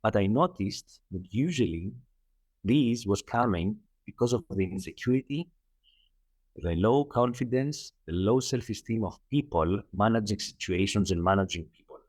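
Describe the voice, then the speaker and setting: A middle-aged man from Greece with a Greek accent, a coach answering interview questions earnestly, often repeating himself and pausing on fillers. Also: fairly low pitch, expressive, darkish tone, no echo. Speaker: guest, holistic coach.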